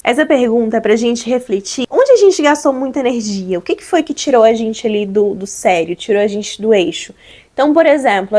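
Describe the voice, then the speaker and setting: Hoarse, congested Brazilian woman vlogging. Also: fairly high pitch, a little mellow. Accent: Brazilian Portuguese. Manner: chatty, explanatory, addresses viewers as gente. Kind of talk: casual advice vlog.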